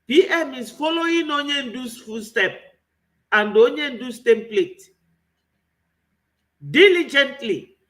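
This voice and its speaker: A man's voice: very high-pitched, expressive, takes it slowly, long pauses, bright, forceful tone. A man with a Nigerian accent giving an impassioned political commentary, full of rhetorical questions. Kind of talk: online political commentary monologue.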